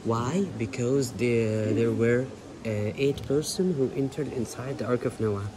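A man in his thirties guiding visitors, explaining in simple, halting non-native English. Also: medium pitch. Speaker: tour guide. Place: large church interior. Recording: noisy ambience inside a church.

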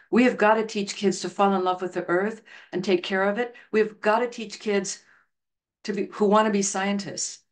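A middle-aged woman giving an online lecture over slides on a video call, talking earnestly and telling stories. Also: medium pitch. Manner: earnest, storytelling, reads quotes aloud. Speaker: education researcher presenting a talk. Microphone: video call webcam.

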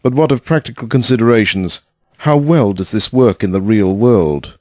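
A man's voice: lowish voice, expressive, moderate pace, darkish tone, close to an everyday voice. A man playing a blunt, dismissive, hard-nosed investor in an audio drama, curt and sardonic in manner. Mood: skeptical, brisk.

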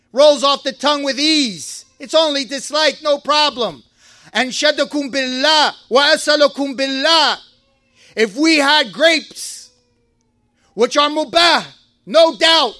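A man giving a Friday sermon in a mosque, preaching with passion, rising to shouts and reciting Arabic verses. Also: extremely high pitch, no room sound, clean studio sound.

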